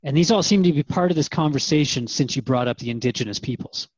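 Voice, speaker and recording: A man's voice: fairly high pitch, quick pace, husky, dry recording, muffled recording. A man playing a curious human radio interviewer, informal and slightly bemused, in a conversational drama. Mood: puzzled, curious.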